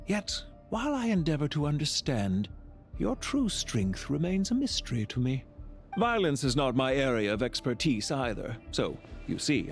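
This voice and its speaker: A man playing a calm, courteous, scheming villain officer in a video game, speaking in a polite, formal, measured and theatrical way. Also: mid-range voice. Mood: courteous, probing. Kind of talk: scripted video game voice acting.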